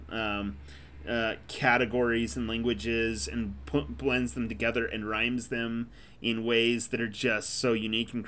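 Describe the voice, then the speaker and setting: A man in casual podcast chat who rambles with enthusiasm and fills his talk with "you know" and "uh". His voice sits at an ordinary middle pitch. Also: fairly bright tone, slight background noise. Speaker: podcast co-host.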